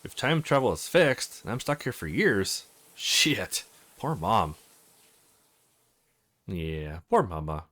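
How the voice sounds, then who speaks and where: A man's voice: lowish voice, very animated, slow pace, long pauses, bright, forceful tone. A man hosting a let's play, reading visual novel dialogue aloud, acting out lines and adding casual commentary. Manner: acts out characters' lines with exclamations.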